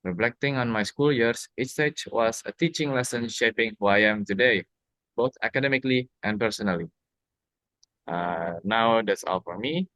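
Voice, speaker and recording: A man's voice: fairly low pitch, moderate pace, bright, forceful tone, no echo, rough recording. A young man, a university student with an Indonesian accent, giving prepared answers in a spoken question-and-answer exercise. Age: young adult.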